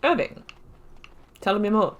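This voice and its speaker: A woman commentating her playthrough in a relaxed, chatty way, thinking aloud and reacting to what happens on screen. Her voice sits in a middle pitch for a woman. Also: a little slow, dull audio, a little hiss or hum. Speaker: gaming YouTuber. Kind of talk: casual let's-play commentary.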